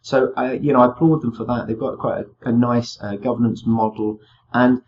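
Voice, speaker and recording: A man hosting his crypto video with a slightly croaky, fading voice, talking loosely and filling with "kind of" and "um". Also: mid-range voice, steady tone, moderate pace, a little mellow, muffled recording. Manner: rambling, full of "kind of" and "um" fillers. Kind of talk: casual screen-share walkthrough.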